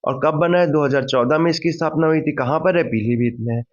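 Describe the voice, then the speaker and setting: Male teacher, fairly high pitch, didactic question-and-answer revision delivery, dull low-fidelity sound. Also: fast talker. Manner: didactic, poses questions then answers them, repeats key points. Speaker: exam-prep teacher.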